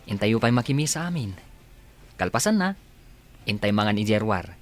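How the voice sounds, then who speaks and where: Affectionate young Ilocano elder brother, lively pitch, radio drama, music underneath. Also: male voice, moderate pace. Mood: warm, pleased.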